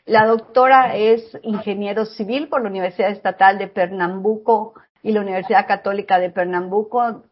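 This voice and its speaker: A woman's voice: medium pitch, steady tone, normal speed, highs cut off. A woman hosting a radio interview show, formal and courteous. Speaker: radio host.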